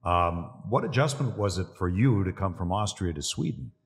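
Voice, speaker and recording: Deep-voiced male podcast co-host. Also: lively intonation, normal speed. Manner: friendly, teasing questions. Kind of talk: casual interview chat. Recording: long reverb, echoey room.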